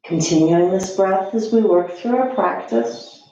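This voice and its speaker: Slow-talking woman yoga teacher, very echoey room. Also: medium pitch, gravelly, a little hiss or hum. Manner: gentle, soothing, unhurried cueing with long pauses. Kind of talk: guided yoga and meditation instruction. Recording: echoey room, slightly dull sound.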